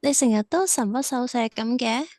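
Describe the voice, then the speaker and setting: An actress playing a sweet, gentle young woman in love in an audio drama, tender and girlish, with a Hong Kong Cantonese accent. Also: on the high side, moderate pace, no room sound, clean studio sound, lightly acted. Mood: cheerful.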